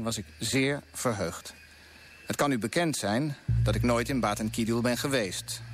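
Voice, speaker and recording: A man's voice: mid-range voice, a little hiss or hum, natural voice. A man playing an idealistic, grand colonial official in a Dutch radio play, speaking with rhetorical flourish.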